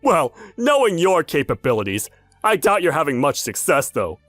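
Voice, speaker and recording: A man's voice: fairly high pitch, huge pitch swings, normal speed, fairly bright tone, no echo, soft background music. A man voicing a sneering, jealous, hot-tempered rival salesman in a manga dub. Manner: sneering, accusatory.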